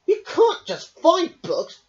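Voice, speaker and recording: A man reading as a huffy fox, grumbling, high-pitched, lively, dull lo-fi recording. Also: slow pace, heavily acted.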